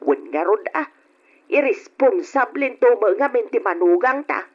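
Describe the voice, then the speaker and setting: A woman's voice: a little high, expressive, measured pace. A woman playing an elderly, practical grandmother in a radio drama, fretful and firm with her husband. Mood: firm, disapproving. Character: elderly grandmother, concerned, practical, disapproving wife. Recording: dull lo-fi radio drama recording.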